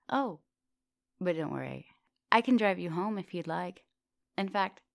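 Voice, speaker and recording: A woman playing an immortal vampire professor in an ASMR roleplay, teasing, flirtatious and gently insistent. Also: on the low side, vocal fry, no room sound, studio quality. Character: teasing, thousand-year-old female vampire professor, soft dominant, charming. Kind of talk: ASMR roleplay, intimate one-sided conversation.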